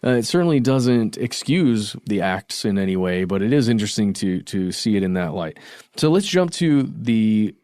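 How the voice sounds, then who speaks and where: A man co-hosting a podcast, talking in a relaxed, chatty way with frequent fillers and restarts. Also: lowish voice, moderate pace, clean tone, no room sound, clean studio sound. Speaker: podcast co-host. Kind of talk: casual conversational discussion.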